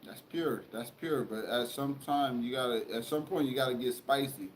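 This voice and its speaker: A man's voice: mid-range voice, normal speed, a little hiss or hum. A young man hosting a casual chat, talking loosely and profanely with lots of rambling. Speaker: podcast host.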